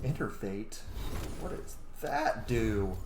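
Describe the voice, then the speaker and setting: A man's voice: fairly low pitch, takes it slowly, noisy background. A man in his thirties giving blunt, sweary, casual commentary on a video game he is playing. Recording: roomy sound under loud game music. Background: loud game music.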